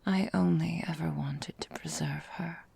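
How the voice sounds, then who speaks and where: A woman playing an ancient, elegant vampire mistress in an ASMR roleplay, speaking formally and deliberately in a breathy, gravelly, whispery voice. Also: low voice, steady tone, warm tone, full character voice. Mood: regretful, hushed. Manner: slow, formal, theatrical, deliberate.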